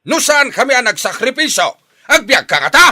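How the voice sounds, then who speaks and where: A man playing an angry, authoritarian middle-aged father in a radio drama, scolding forcefully with a Filipino accent. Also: very high voice, sing-song, brisk, heavily acted. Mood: furious. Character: angry, authoritarian father berating his ungrateful son. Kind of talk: Ilocano radio drama acting.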